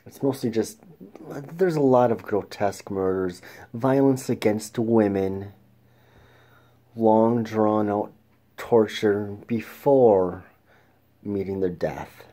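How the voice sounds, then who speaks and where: Slow, halting man reviewing a film. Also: fairly low pitch, dark tone. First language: English. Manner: halting, many restarts and throat clears. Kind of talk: casual film review monologue.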